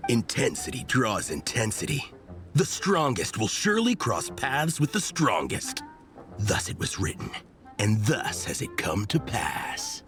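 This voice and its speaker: A man's voice: lowish voice, very animated, unhurried, a bit bright, soft background music. An actor voicing a bombastic, earnest young man in a video game, declaiming pompously in old-fashioned dramatic phrasing. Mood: grandiose. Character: bombastic, earnest young male hero-worshipping zealot.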